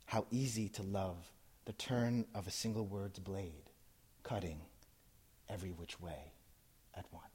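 A man's voice: low-pitched, fairly even intonation, a little mellow. Man reading poems, then chatting with a crowd. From New Jersey, USA. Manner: measured, intense recitation, then chatty and laughing with listeners. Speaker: poet. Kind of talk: poetry reading and audience talk. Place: live event in front of an audience.

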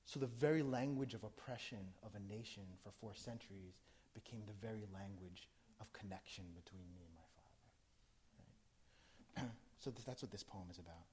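A man's voice: lowish voice, moderate pace, a little mellow. A middle-aged man from New Jersey who chats casually with a live audience, then performs a spoken word poem with rising intensity. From New Jersey, USA. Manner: conversational, asks the audience questions, then recites with rising intensity. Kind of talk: live poetry reading with talk to audience.

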